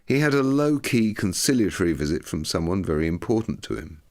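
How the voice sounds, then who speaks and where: A man reading a scripted documentary audiobook in a measured, articulate, expressive way. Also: low voice. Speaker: audiobook narrator.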